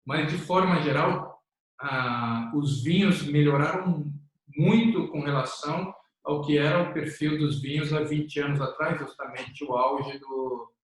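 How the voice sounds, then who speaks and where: A man with a Brazilian Portuguese accent, hosting a wine interview in a relaxed, rambling way. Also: fairly high pitch, a little slow. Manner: relaxed, rambling, many fillers. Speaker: wine magazine host. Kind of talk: casual interview chat. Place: office room. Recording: very echoey room.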